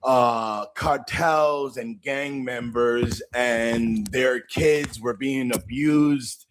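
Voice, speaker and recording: A man's voice: mid-range voice, drawn-out words, background noise. A man arguing indignantly on a live panel. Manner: indignant, argumentative. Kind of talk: heated live panel debate.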